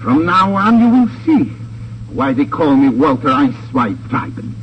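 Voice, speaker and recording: An actor playing a smug, suavely menacing crime boss with a pompous, precise delivery in a 1950s radio drama. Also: male voice, fairly high pitch, very animated, measured pace. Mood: gloating. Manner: pompous, over-precise, relishing his words. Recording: dull, noisy old radio transcription.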